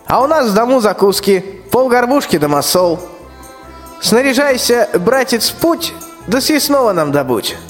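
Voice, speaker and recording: A woman's voice: fairly high pitch, big pitch swings, moderate pace. A young woman playing a scrawny, spiteful, bossy tsar in a rhymed fairy-tale radio play, speaking in an imperious, theatrical way. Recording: reverberant hall with music.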